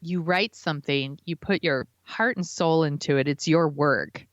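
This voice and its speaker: A woman with an American accent and a low-pitched voice, chatting as a podcast co-host. She is casual and blunt in how she reacts, on a dry, slightly dull recording. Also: moderate pace, dry recording. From United States. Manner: blunt, informal.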